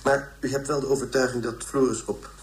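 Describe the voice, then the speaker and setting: An actor playing a probing television interviewer in a radio play. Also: male voice, medium pitch, little pitch movement, a little fast, lightly acted. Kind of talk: news interview in radio drama. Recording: roomy, echoing radio play sound.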